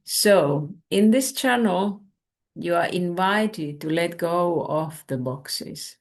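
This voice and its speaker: Woman, Finnish native speaker, spiritual channel host; low, unhurried, contemplative delivery with gravelly texture. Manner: calm, measured, contemplative. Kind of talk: reflective spiritual talk.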